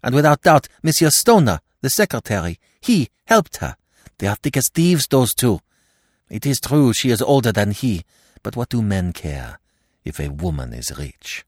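A man's voice: on the low side, big pitch swings, moderate pace, dry recording, clean studio sound, voice pushed up. A man voicing a passionate, emotional young Frenchwoman, speaking in a heated, dramatic way. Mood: scornful.

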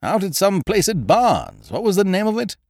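A man voicing a cheerful, excitable male government official who speaks with eager fervour. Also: high voice, normal speed, no room sound, studio quality, voice pushed up, full character voice. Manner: fervent, eager.

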